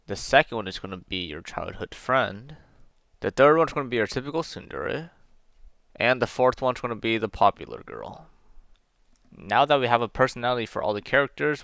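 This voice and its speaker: A man's voice: fairly low pitch, vocal fry, fairly bright tone, dry recording. A young man giving scripted video narration in a casual, self-deprecating way. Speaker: amateur game developer narrating a video.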